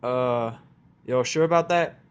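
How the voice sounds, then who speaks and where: A man's voice: mid-range voice, a little slow, clear, resonant tone, lightly acted. A man voicing a plain-spoken, skeptical female farm pony who talks in folksy country dialect. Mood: doubtful.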